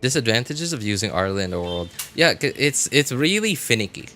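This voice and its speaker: A man giving casual live gameplay commentary, thinking aloud in a halting way with sarcastic asides. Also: mid-range voice, very animated, sharp tone, faint music behind. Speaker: game streamer.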